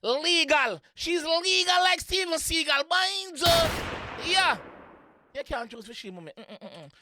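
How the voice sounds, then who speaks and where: A Jamaican host reacting to music in a casual, excitable, playful way, repeating phrases and singing along. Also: extremely high pitch, slow, noisy background. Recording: music playing in the background.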